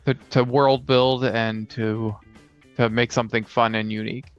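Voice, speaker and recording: A man's voice: mid-range voice, little pitch movement, unhurried, clean tone. A man co-hosting a casual podcast, chatting about tabletop gaming from a player's side. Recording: slightly dull audio, guitar music behind.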